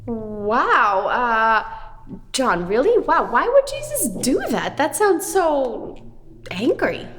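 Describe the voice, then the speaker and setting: Very animated, high-voiced woman children's host. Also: slow pace, slight background noise. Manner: expressive, exaggerated wonder for children. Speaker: children's puppet show host. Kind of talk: scripted children's show dialogue.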